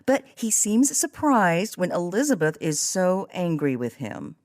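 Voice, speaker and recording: A woman's voice: fairly low pitch, sing-song, unhurried, a little mellow, no echo, studio quality. A woman hosting a podcast, reading scripted narration and summaries. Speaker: podcast host.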